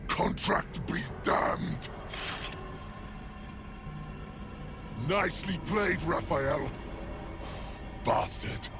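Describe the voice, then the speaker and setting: A man's voice: a little high, expressive, slow. An actor playing a proud, bitter devil in a video game, theatrical and snarling, savoring his words. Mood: furious. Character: menacing male devil bound by a cruel contract, proud and bitter. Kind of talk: video game character acting. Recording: music behind the voice.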